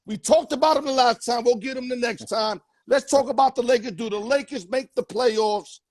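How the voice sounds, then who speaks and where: A man's voice: very high-pitched, moderate pace, dry recording, studio quality. A man hosting a casual sports talk show, loose and joking, full of street slang. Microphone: headset or earpiece.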